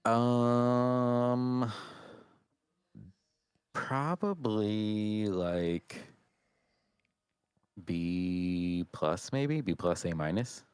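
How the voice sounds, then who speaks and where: Low-voiced man, hesitant podcast chat. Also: very slow, pauses often, darkish tone, clean tone, dry recording, studio quality. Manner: hesitant, rambling, repeats himself. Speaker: podcast co-host reviewing films. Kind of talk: casual chat.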